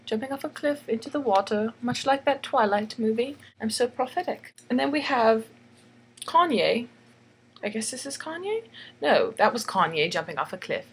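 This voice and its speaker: A woman's voice: on the high side, lively intonation, moderate pace, husky, rough recording. A woman reading a bedtime story in a theatrical, tongue-in-cheek way, giggly and chatty, repeating phrases for emphasis. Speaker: storyteller and illustrator.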